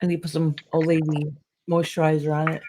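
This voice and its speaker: A woman's voice: deep voice, little pitch movement, quick pace, mellow. A woman in her late forties co-hosting a casual chat, telling stories with frequent laughter. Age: 40s. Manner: laughing, chatty storyteller. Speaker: podcast co-host.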